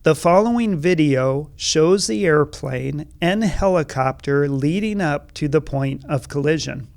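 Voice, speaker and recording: A man narrates in a factual, formal register. He takes his time, with noticeable vocal fry and a mid-range pitch, recorded dry with almost no room sound. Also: darkish tone, no room sound. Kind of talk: scripted explanatory narration.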